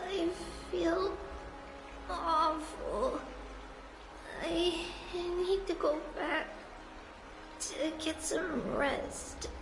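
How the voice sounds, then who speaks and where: A woman voicing a frail young girl in a video game, speaking slowly and haltingly, weak and in pain. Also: extremely high pitch, reverberant, background noise, heavily acted. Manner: slow, halting, pained speech. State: hurting and weak. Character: frail young girl Trian, gentle and apologetic.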